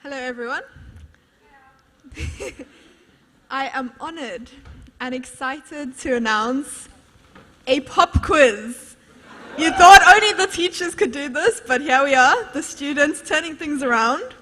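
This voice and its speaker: A teenage student representative presenting on stage in a playful, teasing way. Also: female voice, sits high, lively intonation, slow, long pauses, sharp tone, long reverb tail, slight background noise.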